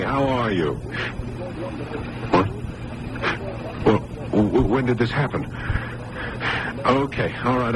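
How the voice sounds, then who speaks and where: A man playing an earnest, concerned hospital doctor in a 1980s radio drama, speaking in a hesitant, measured and reassuring way. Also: mid-range voice. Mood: flustered, urgent. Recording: noisy old radio broadcast recording.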